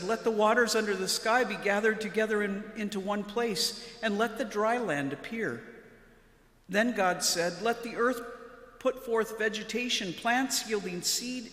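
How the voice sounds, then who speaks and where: A woman's voice: mid-range voice, fairly bright tone. Woman reading scripture in a reverberant church. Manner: solemn, measured. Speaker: Lutheran pastor. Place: large church interior, at the lectern. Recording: echoey church with long reverb.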